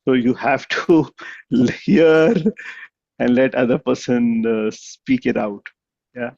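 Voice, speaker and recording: A man's voice: medium pitch, slow, a little mellow, lo-fi sound. A man giving conversational spoken feedback at a club meeting over a video call, with many restarts and fillers. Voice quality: gravelly. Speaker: meeting evaluator.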